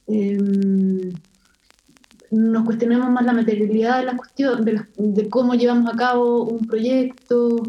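A woman from Chile with a Chilean Spanish accent, an illustrator speaking thoughtfully as an interview guest and pausing often with fillers. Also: a little high, fairly even intonation, lo-fi sound. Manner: thoughtful, hesitant with many fillers. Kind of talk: casual interview conversation.

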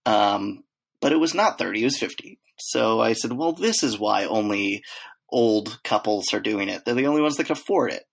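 A man's voice: on the low side, animated, moderate pace, vocal fry, slightly rough. A man co-hosting a casual chat, telling rambling travel stories with lots of fillers and acted-out quotes. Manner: rambling storyteller, acts out quotes, many fillers.

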